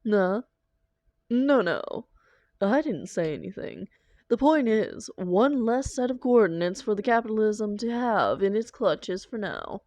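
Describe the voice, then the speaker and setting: A woman playing a doting, defensive supervillain lover in an intimate roleplay audio drama, coaxing theatrically with endearments and dramatic pauses. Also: medium pitch, expressive, a little mellow, no room sound, close to an everyday voice. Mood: evasive, justifying.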